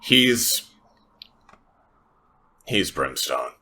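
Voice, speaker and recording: A man's voice: sits low, sing-song, drawn-out words, pushed and bright, heavily acted. A man voicing a guarded, dryly sardonic woman from a gritty city in a tabletop roleplaying game, with understated delivery. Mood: guarded, resigned.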